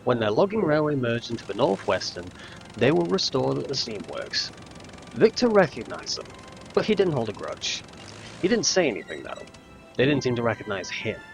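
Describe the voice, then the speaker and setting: A man reading scripted narration for a children's railway audio drama, like a storybook, with a gravelly edge. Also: mid-range voice, very animated, moderate pace, over music. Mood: storytelling.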